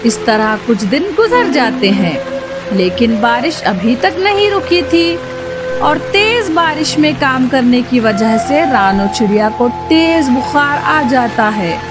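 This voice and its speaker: A woman's voice: fairly high pitch, lively intonation, slow. A woman narrating a scripted children's story as the storyteller of a cartoon. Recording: loud music behind the voice.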